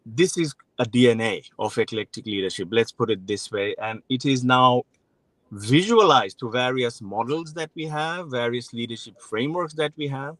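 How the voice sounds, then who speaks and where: A man's voice: medium pitch, normal speed, fairly bright tone, no room sound. A man in his forties, born in Bangladesh, speaking frankly and reflectively as an interview guest, often restarting his sentences. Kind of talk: interview conversation.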